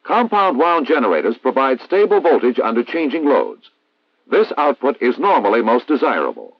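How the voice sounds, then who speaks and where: An American man reading a scripted instructional narration in a formal, measured, didactic way, as in a 1960s military training film. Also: mid-range voice, very animated, dry recording. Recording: dull vintage 1960s film soundtrack.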